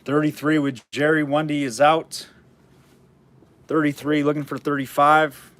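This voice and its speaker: Hoarse man calling a live auction. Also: fairly high pitch, flat delivery, unhurried, a bit bright. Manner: chatty, rambling asides between bid calls. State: hoarse, losing his voice.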